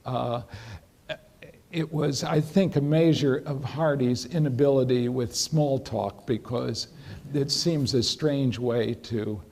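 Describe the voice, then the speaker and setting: An older man lectures in a hall, wandering through an anecdote with restarts and asides. His voice is mellow and dark, with a husky edge. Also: mid-range voice, slow pace. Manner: rambling anecdotal delivery with restarts. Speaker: professor giving a talk. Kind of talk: storytelling lecture with Q&A.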